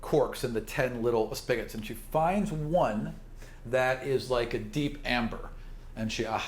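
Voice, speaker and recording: A man's voice: medium pitch, unhurried. A man improvising conversational narration as the game master of a tabletop role-playing podcast. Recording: roomy echo, music underneath. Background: background music.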